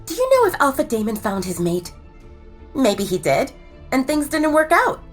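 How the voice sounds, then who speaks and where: A woman acts out a loyal young best friend in a romantic audio drama. Her voice sits fairly high and moves with great animation. She coaxes and encourages with emphatic delivery. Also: wildly swooping pitch, moderate pace, faint music behind, heavily acted. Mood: coaxing.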